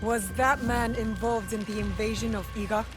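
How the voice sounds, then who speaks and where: An actress playing a serious, direct young shinobi woman in a video game, speaking with quiet resolve. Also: a little high, steady tone, normal speed, natural voice. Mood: probing, serious. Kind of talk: video game cutscene acting. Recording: music clearly behind the voice.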